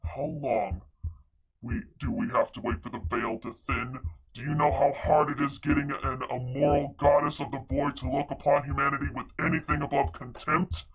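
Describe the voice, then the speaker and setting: An actor playing a menacing, lovesick man in a game, with an intense, indignant, theatrical way of speaking and a creaky, tense voice. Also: mid-range voice, little pitch movement, normal speed, rough recording. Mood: anguished fury. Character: menacing, lovesick man devoted to his monstrous date.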